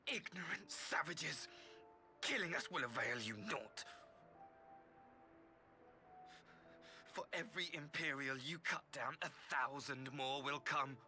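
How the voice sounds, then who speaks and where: An actor playing a young imperial officer in a video game, courteous on the surface but sinister and fanatical, with formal, theatrical diction. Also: male voice, a little high, moderate pace, sharp tone, soft background music. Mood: scornful, zealous. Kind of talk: scripted video game cutscene acting.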